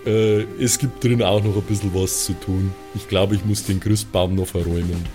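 A man's voice: low-pitched, steady tone, normal speed, music in the background. A man chatting casually as a player in an improvised tabletop role-playing show. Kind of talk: casual improvised game chat.

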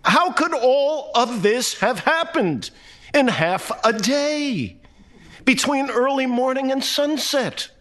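A man's voice: extremely high pitch, expressive, slow, fairly bright tone, pitched above the performer's own voice, exaggerated voice. A man voicing a dazed, bewildered storyteller in a literary tale, exclaiming and questioning dramatically. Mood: dazed, distraught. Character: bewildered first-person storyteller, overwhelmed and dazed.

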